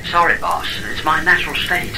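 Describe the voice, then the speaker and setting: A woman's voice: deep voice, level tone, fairly quick, noisy background, close to an everyday voice. A woman playing a cheeky, vain talking engineering pod in a comedic audio drama, flippant and playful. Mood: breezily apologetic. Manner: flippant, attention-seeking, playful.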